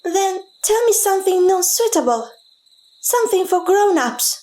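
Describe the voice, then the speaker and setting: A very high, childlike voice for a young half-elf girl in a fantasy audio tale. She is earnest and pleading as she speaks to her father. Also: very animated, a little slow, a little mellow, heavily acted, poor audio. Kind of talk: audiobook character acting.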